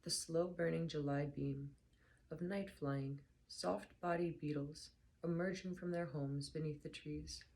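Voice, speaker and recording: Young woman, very low and gravelly, hoarse and tired, soft-spoken casual reader. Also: fairly even intonation, a little slow. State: hoarse, slightly lost voice. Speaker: book reader vlogger. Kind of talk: casual chat then reading aloud.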